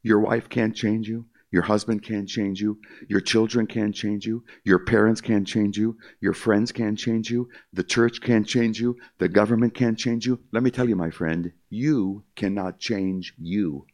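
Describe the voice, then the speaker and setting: Older man with a low gravelly voice. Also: steady tone, a little slow, hardly any pauses, darkish tone. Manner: emphatic, repetitive, addresses listeners as "my friend". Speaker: concert pianist giving testimony. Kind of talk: evangelistic testimony speech to a live audience.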